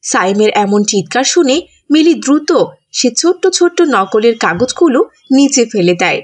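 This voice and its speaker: High-voiced woman narrating children's stories. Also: expressive, normal speed. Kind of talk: scripted children's story narration.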